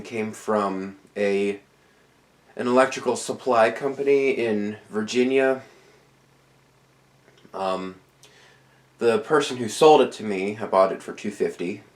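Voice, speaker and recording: A man with a lowish voice gives a casual, rambling show-and-tell of a fan from his collection. He sounds a little nasal and tired after dental work. He often stops and restarts as he talks. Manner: rambling, hesitant, with many restarts. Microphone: camera on a tripod.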